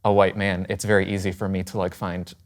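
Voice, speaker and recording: A man from Michigan sharing thoughtfully in a church panel, pausing with fillers. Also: low-pitched, flat delivery. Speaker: discussion panelist. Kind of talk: candid personal sharing.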